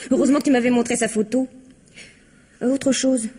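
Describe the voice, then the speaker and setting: A calculating young accomplice in an old-fashioned radio mystery. Her voice is fairly high, yet dark and husky. She speaks in a composed, pointed way. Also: fairly even intonation, a little fast. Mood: cool, calculating.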